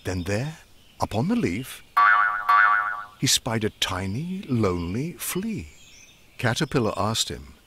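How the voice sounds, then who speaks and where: A man with a fairly low voice reads a rhyming bedtime story for children. His intonation is lively and varied, and he follows the verse in an expressive, measured way. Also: slight background noise.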